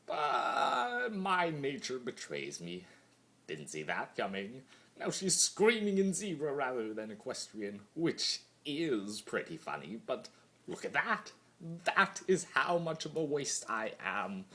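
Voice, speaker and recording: A man performs a mad male spirit of chaos in a dramatic fan-fiction monologue. The delivery is theatrical and very animated, with a mid-range pitch that leaps and dips widely. The character sounds all-powerful yet trapped, swinging between manic glee and hushed brooding. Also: takes it slowly, full character voice.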